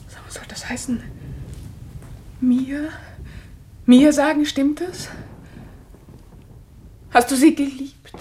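An actress playing a betrayed wife in a German radio drama, outwardly polite yet wounded and insistent. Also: female voice, high-pitched, fairly even intonation, slow, pauses often, warm tone. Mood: wounded, shaken.

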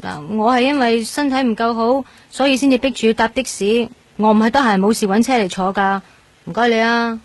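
A woman's voice: a little high, fairly even intonation, a little fast, natural voice. An actress playing an earnest, flustered young woman in a radio drama, speaking Hong Kong Cantonese in a polite, insistent, talkative way.

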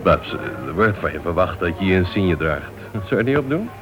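An actor playing a chatty, genial club member in a Dutch radio play. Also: male voice, low voice, sing-song, fairly quick, over music. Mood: genial, teasing. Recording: dull old radio recording.

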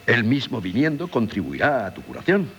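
An actor playing a troubled, reasoning middle-aged husband in a 1970s radio drama, with a Castilian accent. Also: male voice, medium pitch, huge pitch swings, a little fast. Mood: patient, reasoning. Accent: Castilian Spanish. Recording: hissy 1970s radio recording.

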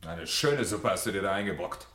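An actor playing a domineering, sardonic fire captain in a radio drama, with a commanding, mocking manner. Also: male voice, sits low, animated, fairly quick, fairly bright tone, reverberant. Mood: scornful, angry. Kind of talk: 1970 German radio drama acting.

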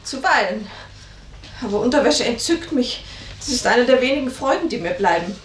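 An actress in a German radio drama playing a watchful, wistful unmarried sister. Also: female voice, a little high, animated, moderate pace, slight background noise, lightly acted. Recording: echoey room, distant from microphone.

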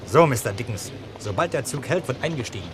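Actor as a stern, animated police officer. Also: male voice, mid-range voice, fast talker, music in the background, natural voice. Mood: stern, warning. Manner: curt, bossy. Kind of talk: German radio comedy acting.

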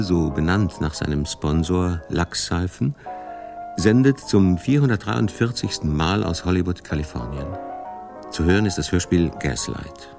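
Very deep-voiced male feature narrator. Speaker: documentary narrator. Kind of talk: scripted radio feature narration.

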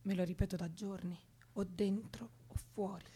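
A woman's voice: medium pitch, steady tone, moderate pace, dark tone, breathy edge, lightly acted. An actress in an Italian radio drama playing a troubled young woman, bitter and fragile, with an intense, brooding way of speaking. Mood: brooding, resolved. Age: young adult.

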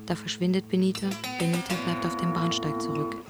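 A woman reciting scripted radio drama narration in short, measured phrases. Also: fairly low pitch, fairly even intonation. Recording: loud music with plucked guitar behind. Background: music, plucked guitar.

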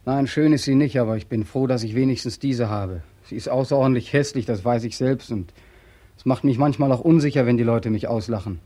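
A man's voice: medium pitch, brisk, dark tone, no echo, natural voice. A man playing a bitter, haunted soldier back from war in a 1940s radio drama, brooding and intense. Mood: resigned self-mockery. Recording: old 1940s radio studio recording.

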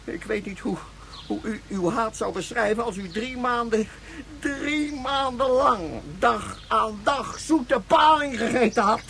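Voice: medium pitch, unhurried, creaky, bright, forceful tone, slight background noise. An actor in a radio drama playing a sick, miserable, agitated citizen, stammering and gasping in distress. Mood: bitter, hateful. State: nauseous, in distress. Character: sick, miserable, agitated citizen force-fed sweet eel.

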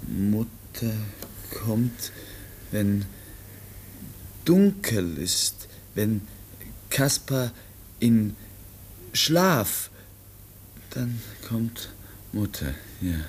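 An actor playing a childlike, bewildered young foundling in a radio play, speaking in halting, broken, simple phrases. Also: male voice, lowish voice, big pitch swings, slight background noise, full character voice. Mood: dreamy, yearning. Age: young adult. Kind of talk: German radio play acting.

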